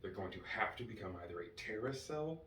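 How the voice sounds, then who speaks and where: A man giving an enthusiastic fan review as a solo host, excited and emphatic, drawing out key words. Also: fairly low pitch, a little fast. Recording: roomy, distant mic with faint noise.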